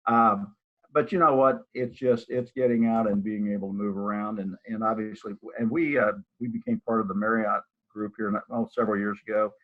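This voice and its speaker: Low-voiced older man on a tinny line. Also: steady tone, brisk. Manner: rambling, with many restarts and fillers. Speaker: real estate broker and office manager. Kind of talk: casual interview over a live video call. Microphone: video call. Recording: tinny phone-like audio.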